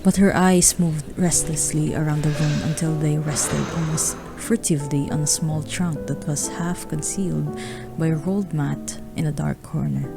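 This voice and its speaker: Low, creaky woman narrating a story. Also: fairly even intonation, normal speed, over music. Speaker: narrator of a radio drama.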